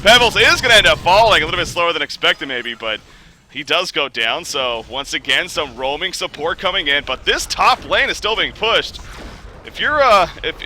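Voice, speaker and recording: Male esports caster, animated delivery, sharp bright tone, slightly raised pitch. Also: slight background noise. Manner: excitable, joking, rising pitch on big plays. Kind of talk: play-by-play game commentary.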